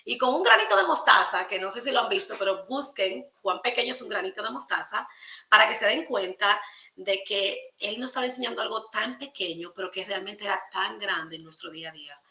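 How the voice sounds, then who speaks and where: A woman's voice: mid-range voice, expressive, a little fast, sharp tone. A woman with a Dominican accent talking conversationally and emphatically as a podcast guest, explaining spiritual ideas. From Dominican Republic. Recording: roomy echo, slightly dull sound.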